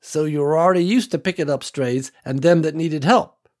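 A man voicing a wise elderly woman farmer who speaks gently and folksily, calling others honey and sweetie. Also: on the high side, moderate pace, pitched above the performer's own voice, lightly acted. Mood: gentle. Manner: gentle folksy drawl with endearments.

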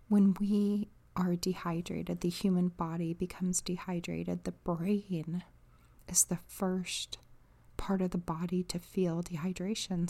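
Woman doing guided meditation, really slow n mellow, soft half whispery voice, barely moves in pitch. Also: creaky. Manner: soothing, unhurried, pausing between phrases. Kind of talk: guided meditation, softly spoken.

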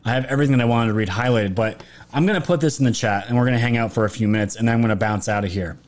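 Hoarse man rambling on a livestream. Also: mid-range voice, very fast. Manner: rambling, repeats and restarts phrases, emphatic. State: throat sore, losing his voice. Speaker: political livestream commentator. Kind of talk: casual livestream monologue.

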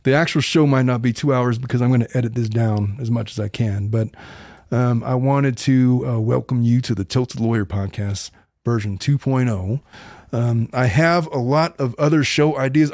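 A middle-aged man with a gravelly, fry-edged voice delivers a blunt, earnest podcast monologue. He circles back and restarts his thoughts as he goes. His voice sits at an ordinary pitch and sounds close to the mic in a dry, dead room. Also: a little mellow, no room sound. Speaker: podcast host and family law attorney.

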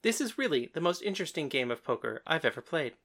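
A woman's voice: low-pitched, very animated, fast talker, a bit bright, full character voice. A woman voicing a sly, worldly man in an audiobook story, with a wry, amused manner at the card table.

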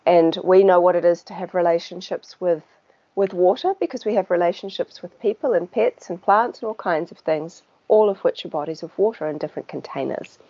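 A woman with a New Zealand accent, a podcast guest who talks in a relaxed, chatty way with small laughs and filler words. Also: fairly low pitch, a little fast. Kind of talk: casual conversational interview. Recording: dull lo-fi sound.

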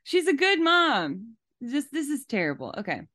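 A woman's voice: high-pitched, wildly swooping pitch, normal speed, bright, forceful tone, no echo, clean studio sound. A woman hosting a podcast, giving casual, emphatic commentary and repeating phrases for stress. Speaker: podcast host.

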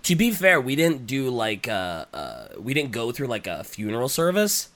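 A man co-hosting a podcast, talking casually with a raspy, congested voice while getting over a cold, hesitant and full of fillers. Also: medium pitch, huge pitch swings, moderate pace, a bit bright. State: recovering from a cold. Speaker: podcast co-host. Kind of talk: casual chat.

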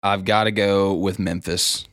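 Deep-voiced man in casual sports chat. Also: level tone, measured pace, fairly bright tone, no room sound, clean studio sound. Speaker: sports podcast co-host.

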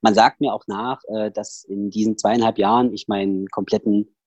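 A man's voice: on the low side, steady tone, normal speed, darkish tone, dry recording, highs cut off. A man with a Saxon accent, a podcast guest talking casually over a headset, rambling with many fillers and restarts. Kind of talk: casual personal interview talk.

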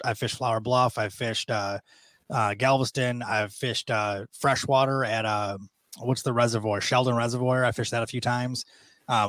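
Fast-talking man in his thirties. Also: mid-range voice, fairly bright tone, no room sound. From Michigan. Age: 30s. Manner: talkative, rambling with fillers. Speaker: guest angler. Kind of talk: casual podcast chat.

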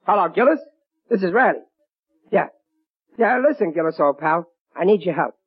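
A man's voice: high-pitched, normal speed, breathy edge, faint music behind. An actor playing a blustering, proud but hapless working-class father in a 1940s radio comedy. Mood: excited. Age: middle-aged. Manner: excitable, stammering. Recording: dull vintage 1940s radio recording.

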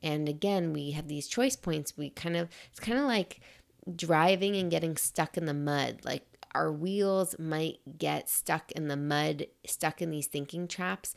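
A woman podcast host with a scratchy, slightly raspy and nasal voice, talking in a gentle, encouraging and reflective way, often checking in with "right?". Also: deep voice. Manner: gentle, encouraging, thinks aloud with frequent "right?". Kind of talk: reflective motivational talk.

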